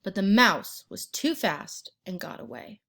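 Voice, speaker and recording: A woman narrating a children's picture book as an expressive read-aloud storyteller. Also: medium pitch. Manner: pauses to ask the listener questions and point out pictures.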